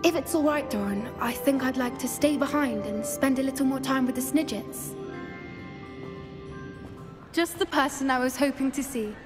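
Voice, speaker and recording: A gentle, earnest British teenage witch played by a woman for a fantasy game. The voice is high and sincere, polite yet plucky, with soft music behind it. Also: normal speed, pauses often, lightly acted. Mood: grateful. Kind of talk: video game cutscene acting.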